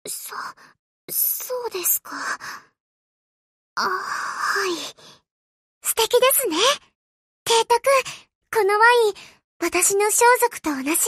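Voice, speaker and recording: An actress voicing a shy, earnest young anime warship girl in a game, polite and gentle with a hesitant, stammering manner. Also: very high voice, no room sound, clean studio sound, exaggerated voice. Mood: gentle, grateful.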